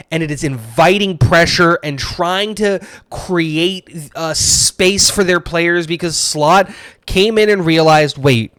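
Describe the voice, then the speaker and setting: A man co-hosting a casual sports podcast, talking in animated, opinionated bursts with plenty of fillers. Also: fairly high pitch, dry recording. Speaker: podcast co-host.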